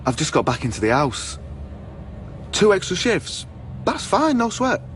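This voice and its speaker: Nervous evasive man, radio play dialogue, hesitant, placating, lively up-and-down pitch, faint background noise. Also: unhurried, full character voice. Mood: eager, placating. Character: flustered, evasive man on the phone to his boss. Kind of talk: naturalistic radio drama dialogue.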